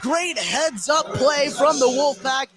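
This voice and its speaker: A man's voice: very high-pitched, slow pace, shouting, music in the background. A man doing live basketball play-by-play on the radio, narrating the action in short running phrases. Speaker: play-by-play commentator. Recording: noisy live gym broadcast.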